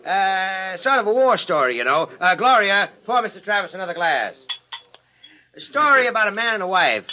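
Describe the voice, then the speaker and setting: A pushy movie type in an old radio drama. His voice is high and very bright, swinging widely in pitch. He is chummy and glib, with menace underneath. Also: very animated, moderate pace, dull audio, a little hiss or hum, full character voice. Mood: slyly menacing. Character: genial, pushy film man hiding a menacing agent. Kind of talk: 1950s radio drama acting. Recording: old 1950s radio recording.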